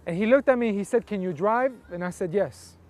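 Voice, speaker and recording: A man's voice: sits high, fairly quick, a little mellow. A middle-aged man from Colombia who grew up in Texas, giving a personal testimony as an interview guest. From Colombia, raised in Houston, Texas from age eight. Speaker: interview guest telling his life story.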